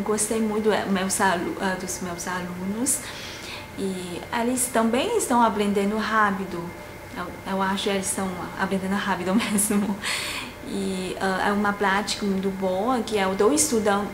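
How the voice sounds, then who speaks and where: A woman in her late twenties from China, with a Chinese accent and Mandarin as her first language. She answers interview questions haltingly, filling pauses with many "ah" sounds as she searches for words. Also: mid-range voice, background noise. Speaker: graduate student and language teacher. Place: indoor office room with a globe and plants. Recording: roomy with audible background ambience.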